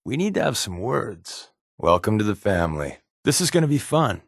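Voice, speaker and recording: Wry undercover agent, dry close sound. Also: male voice, on the low side, sing-song, moderate pace, creaky, no echo, heavily acted. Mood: casual, frank. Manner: wry, glib, conversational. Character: wisecracking, secretive male undercover agent, sly and guarded. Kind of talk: video game companion dialogue.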